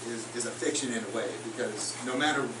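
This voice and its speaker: Man, songwriter, reflective onstage talk; echoey distant live recording with clear noise. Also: mid-range voice, fast talker. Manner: thoughtful, searching for words. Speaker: songwriter on a panel. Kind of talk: casual onstage discussion. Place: concert house, live in front of an audience. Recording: roomy live hall, distant, noisy.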